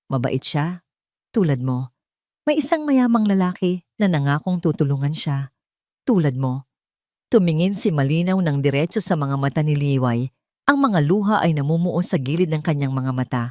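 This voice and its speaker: A woman narrating a dramatic suspense romance story from a script, with deliberate, theatrical phrasing. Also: on the low side, a little mellow, no room sound, clean studio sound.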